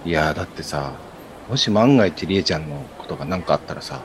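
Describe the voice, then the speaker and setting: A man acting a blunt, self-conscious ringleader of a friend group in a scripted radio drama, husky and casual. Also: very deep voice, expressive, fast talker, background noise. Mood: concerned, surprised. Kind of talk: scripted radio drama dialogue in colloquial Japanese.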